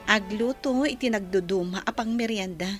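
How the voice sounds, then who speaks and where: A woman's voice: medium pitch, normal speed, faint music behind, lightly acted. A woman playing a friendly, practical young vendor in an audio drama, with an Ilocano Filipino accent. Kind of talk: amateur audio drama acting.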